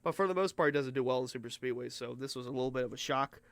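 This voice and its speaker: A man hosting a racing podcast, recapping the race in a rambling, excitable casual way while his voice goes hoarse. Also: mid-range voice, very fast, no echo. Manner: rambling and excitable, mimics officials in mock voices.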